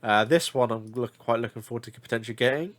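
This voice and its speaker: A man's voice: mid-range voice, fast, fairly bright tone. A man with a slightly stuffy, blocked-nose voice talks through deals in loose, unscripted commentary. He rambles and corrects himself often, filling gaps with hesitations. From United Kingdom. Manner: rambling, many fillers and self-corrections. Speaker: figure collector reviewing deals. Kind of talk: casual screen-share commentary.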